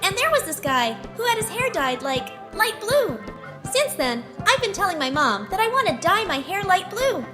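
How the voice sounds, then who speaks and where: A woman voicing a stubborn young boy, halting and earnest, pleading his case in a fan-dubbed comic report. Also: extremely high pitch, very animated, music in the background.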